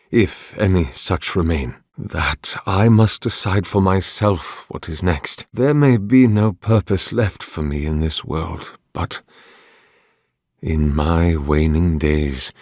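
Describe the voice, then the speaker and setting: An actor playing an ancient, weary, devout priest in a video game, speaking formally and eloquently with solemn dignity. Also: male voice, sits low, no echo, clean studio sound, heavily acted. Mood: solemn, resolved. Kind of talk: dramatic video game dialogue.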